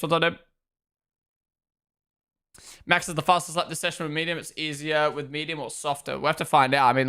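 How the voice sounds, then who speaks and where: A young man with a mixed New Zealand and Australian accent, casually commentating a live watchalong and chatting with viewers, with frequent quick asides. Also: mid-range voice, smooth. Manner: chatty, quick asides, reacts loudly to on-track moments. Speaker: streamer hosting a watchalong.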